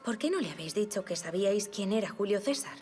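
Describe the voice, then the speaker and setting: An actress plays a lady's maid in a period audio drama, with music behind her. Her voice is of medium pitch. She is deferential, yet frank and inquisitive. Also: lively intonation, quick pace, darkish tone, close to an everyday voice. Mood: curious, attentive. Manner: respectful, frank.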